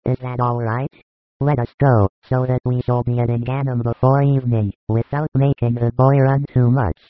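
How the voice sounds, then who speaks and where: A man voicing a calm, gentle male teacher in an audiobook, speaking in a measured way. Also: mid-range voice, no room sound, lightly acted. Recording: thin phone-like dry sound.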